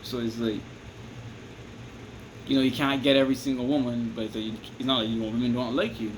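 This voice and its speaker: A man with a Barbadian accent talks loosely and at a middling pitch, circling back on himself and checking in with the listener. A steady hiss and air-conditioner hum sit under his voice. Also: a little fast. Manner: rambling, repeats "you understand me?", restarts often. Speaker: vlogger giving advice. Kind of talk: casual advice monologue to camera.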